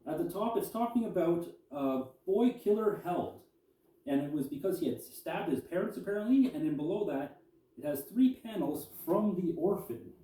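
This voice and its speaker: A man's voice: high voice, animated, normal speed, warm tone. A man presenting as a collector and historian, reading articles aloud and adding informal commentary. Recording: echoey room, distant mic.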